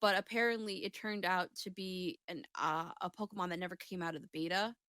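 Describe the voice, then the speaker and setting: A woman's voice: fairly low pitch, fairly even intonation, a little fast, a bit bright, dry recording, studio quality. A woman co-hosting a casual gaming chat show, talking in a rambling, sweary way and often interrupting herself.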